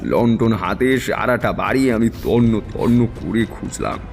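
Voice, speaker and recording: A male actor playing a seasoned tantric, narrating an eerie story in a measured, confiding way. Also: fairly low pitch, vocal fry, in a large hall, faint music behind. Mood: grave, suspenseful. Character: seasoned male tantric recounting his eerie past adventures. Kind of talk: dramatic first-person horror storytelling.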